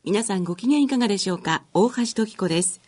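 A woman's voice: mid-range voice, animated, fast, a little mellow, slightly rough. A woman presenting and interviewing as a radio host, speaking politely and formally.

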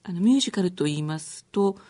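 Female radio host: soft-toned and slightly husky, courteous, formal presenting and interviewing. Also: on the low side, lively intonation, fast talker. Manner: polite, formal.

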